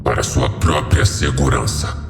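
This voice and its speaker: A man's voice: extremely low pitch, fairly even intonation, a little slow, creaky, voice pushed down, heavily acted. A man playing an ancient, solemn and commanding fallen being who speaks in stately, cryptic pronouncements in a horror audio story. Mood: solemn, revealing. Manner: solemn, stately, portentous delivery. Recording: heavily reverberant voice over music.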